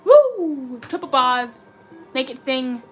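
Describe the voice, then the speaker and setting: High, robotic-sounding woman streamer. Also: takes it slowly, soft background music. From United States. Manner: chatty, excitable cheering at spins. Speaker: slot machine live streamer. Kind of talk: live stream commentary reading chat.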